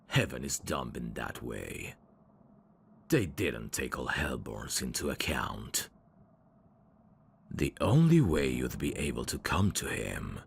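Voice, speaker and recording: A man voicing a sly demon servant in an ASMR audio drama, courtly and theatrical with irreverent, sardonic asides and a very deep, gravelly voice. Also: a little hiss or hum, full character voice. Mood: explaining, dry.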